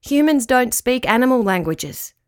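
A woman voicing an earnest, excitable, loyal male pet dog in a children's story. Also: a little high, normal speed, clean tone, no echo, studio quality, close to an everyday voice. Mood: patient.

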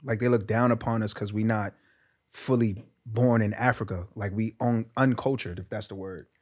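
A man's voice: on the low side, fairly even intonation, moderate pace. A young man, a podcast guest talking frankly and casually, with lots of "like" as he goes. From Brooklyn, New York. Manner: frank, laid-back, heavy use of "like".

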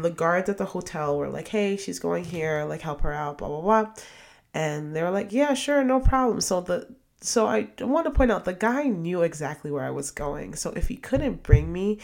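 Young adult woman podcast host with a cold, low and gravelly voice, loose rambling delivery. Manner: chatty, rambling, many fillers and restarts. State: sniffly from a cold. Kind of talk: casual solo podcast monologue.

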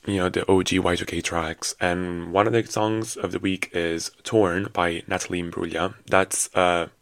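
A young man with a very deep voice, raspy and rough from a night out, rambles through a casual solo podcast with lots of fillers and restarts. The sound is dry and close, with no room around it. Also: level tone, normal speed, a bit bright, no echo. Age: 20s. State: raspy, low voice after a night of drinking. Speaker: podcast host.

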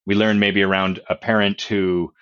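A man, the author and guest, explaining his concepts in a relaxed podcast chat with examples and analogies, with a loud voice. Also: lowish voice, moderate pace, muffled recording.

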